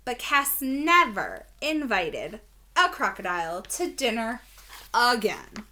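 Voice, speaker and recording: Lady teacher doing storytime for kids, slow n super expressive, bright voice, asks questions. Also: on the high side. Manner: expressive, deliberate, prompting listeners with questions. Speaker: teacher reading aloud to children. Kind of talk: children's story read-aloud.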